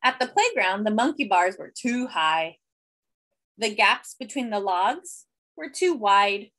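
A woman in her thirties reading a children's picture book aloud expressively, with deliberate, simple phrasing and emphasis. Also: mid-range voice, very animated, a bit bright. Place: small indoor room.

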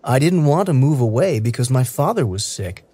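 Lively clear-voiced man reading practice lines. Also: mid-range voice, normal speed, no room sound. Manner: clear, careful, evenly paced delivery. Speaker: English listening practice reader. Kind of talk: slow scripted reading for learners.

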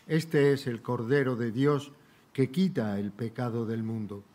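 Older priest guy reciting mass prayers real slow, faint room hiss in a big church. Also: medium pitch, dark tone. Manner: slow, measured, solemn recitation. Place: large church sanctuary, indoors.

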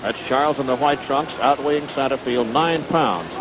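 A man's voice: mid-range voice, normal speed, background noise. An American man calling boxing in the style of 1950s live television, on a vintage broadcast recording. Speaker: boxing commentator. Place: ringside at an indoor stadium.

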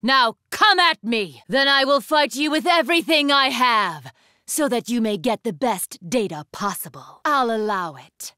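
A woman's voice: on the high side, expressive, unhurried, pushed and bright, no echo, clean studio sound. A woman voicing a proud, fierce young female student leader in an anime fighting game, with a commanding, haughty, formal delivery. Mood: fierce, defiant.

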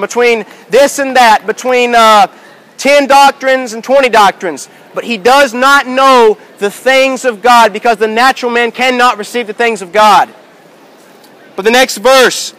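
A man in his thirties preaching outdoors in a busy city square, shouting with emphatic, repetitive rhetorical questions. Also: very high voice, rough recording. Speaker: street preacher. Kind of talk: open-air sermon. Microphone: far handheld camera, no mic.

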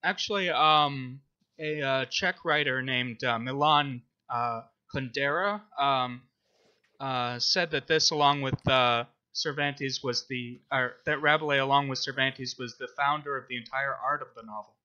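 A man with a strikingly bright, sharp voice of medium pitch talks through a book discussion as co-host. His delivery is halting, broken by many ums and uhs. The recording is a little lo-fi. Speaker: podcast co-host.

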